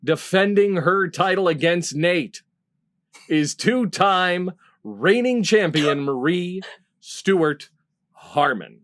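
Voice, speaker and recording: Higher-pitched man hosting a comedy game show. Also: animated, slow, a bit bright. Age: middle-aged. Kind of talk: formal scripted introductions for comedy panel game.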